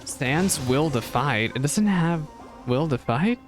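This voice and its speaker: A man's voice: fairly high pitch, animated, slow pace, music in the background. A man chattily musing aloud as a streamer reacting to a game, in casual commentary.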